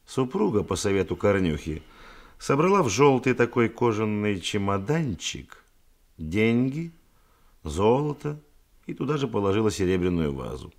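An actor playing a shrewd, composed police detective in a radio drama, deliberate, commanding and dryly ironic. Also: male voice, on the low side, very animated. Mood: methodical, pressing.

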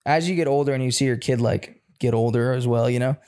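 A man's voice: medium pitch, fast, mellow. A man in his twenties chatting informally as a podcast co-host, swearing freely. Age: 20s. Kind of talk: casual chat.

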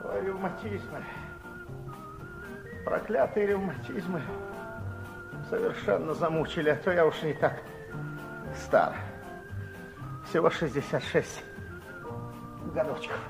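A man's voice: on the high side, slow pace, gravelly, long pauses, over music, poor audio. An actor playing a talkative, folksy working-class man in his sixties who likes to complain, in a 1960s Soviet radio play. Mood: grumbling. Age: older. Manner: folksy, complaining, rambling old man's talk. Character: garrulous 66-year-old working-class man, ailing, folksy, grateful.

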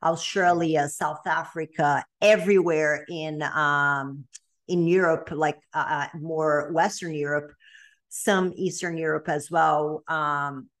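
A woman's voice: low-pitched, steady tone, slow. A woman in her late thirties with a Brazilian accent, a guest on a podcast telling her story in a chatty way with lots of ums and restarts. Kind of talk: casual podcast interview.

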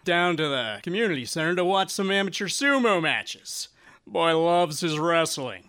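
A man's voice: on the high side, animated, normal speed, bright, forceful tone. A man playing a folksy, easygoing circus strongman in an audio drama, with a hearty manner.

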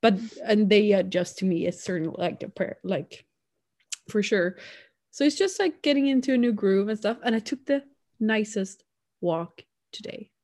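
A young woman with a Swedish accent chats casually as a podcast co-host. Her voice sits at a middle pitch, and she talks in loose, chatty runs, often slipping into lively quotes of what others said. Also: normal speed. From Sweden. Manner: chatty, quotes people in animated bursts, laughs often. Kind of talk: casual chat.

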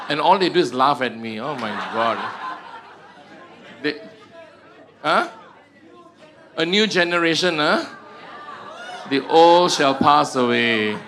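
A man's voice: a little high, animated, slow pace, pauses often, a crowd in the background, background noise. Joking Malaysian man teaching a church group. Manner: joking, self-mocking, tags sentences with "ah" and "huh". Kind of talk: humorous teaching talk to a prayer group.

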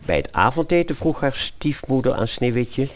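A man's voice: mid-range voice, lively intonation, normal speed. A man narrating a scripted fairy-tale radio play as its storyteller.

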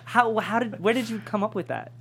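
A woman's voice: mid-range voice, a little fast. A woman from New York interviewing guests in a casual, chatty style. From New York, United States. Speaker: interviewer on a table-read show. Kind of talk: casual chat. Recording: audible background hum and noise.

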